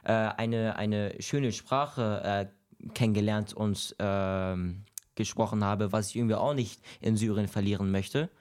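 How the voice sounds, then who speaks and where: Casting: young adult male podcast guest, native Kurdish speaker, fairly low voice, halting conversational delivery. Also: steady tone, a little mellow, clean tone. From Syria. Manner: halting, searching for words. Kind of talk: conversational interview answers.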